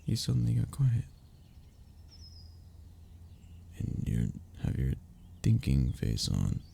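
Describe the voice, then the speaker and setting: A young man acting an affectionate, playful best friend in an intimate audio roleplay, soft-spoken and gently teasing, with a gravelly, husky voice. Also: rumbling low, wildly swooping pitch, unhurried, a little hiss or hum, heavily acted. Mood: gentle, wistful. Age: young adult.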